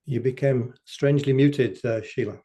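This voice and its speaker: A man from England speaking calmly and drily as a guest on a video call. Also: mid-range voice, normal speed, husky. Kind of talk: casual talk on a video call. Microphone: computer mic on a video call.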